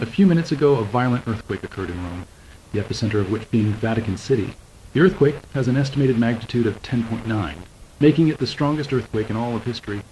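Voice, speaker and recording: A man's voice: on the low side, dark tone, close to an everyday voice. A man playing a formal news anchor delivering an urgent scripted disaster bulletin for an analog horror film. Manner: formal, announcer-like. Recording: faintly noisy mock news tape.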